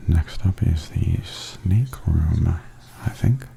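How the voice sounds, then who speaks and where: Male ASMR tour narrator: hushed, breathy, low-pitched and slow, gentle observational delivery over light background noise. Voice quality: breathy, often near-whispered. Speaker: vlogger giving a walking tour. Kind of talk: softly spoken ASMR commentary. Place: walking through a theme park and its indoor attractions. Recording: steady background noise and ambience.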